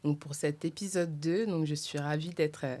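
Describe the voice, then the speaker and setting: A woman with a low voice hosts a roundtable conversation. She speaks in a halting way, stopping often to search for words. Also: fairly even intonation, dark tone. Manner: hesitant, many fillers and "donc". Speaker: podcast host. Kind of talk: hosting a roundtable podcast.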